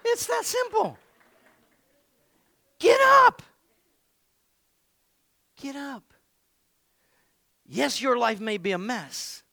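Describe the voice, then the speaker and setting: High, bright-voiced preacher man, shouting. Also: huge pitch swings, drawn-out words, gravelly, long pauses, no room sound, clean studio sound. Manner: playful and joking, then shouting exhortations. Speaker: pastor. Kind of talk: energetic sermon.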